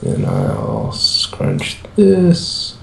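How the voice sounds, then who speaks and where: A man's voice: rumbling low, huge pitch swings, drawn-out words, gravelly. A man chatting casually as co-host of an online stream. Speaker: co-host handling the stream chat. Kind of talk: casual chat. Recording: echoey room with faint noise.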